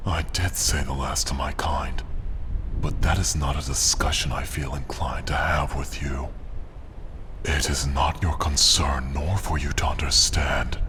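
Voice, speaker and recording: A man voicing an arrogant, menacing dragon in a fantasy ASMR roleplay, speaking formally and deliberately with a breathy, gravelly growl. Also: rumbling low, fairly bright tone, slight background noise, heavily acted. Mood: proud, menacing. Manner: haughty, formal, deliberate, threatening. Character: arrogant, menacing, possessive male dragon, last of his kind. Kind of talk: ASMR fantasy roleplay, slow theatrical monologue.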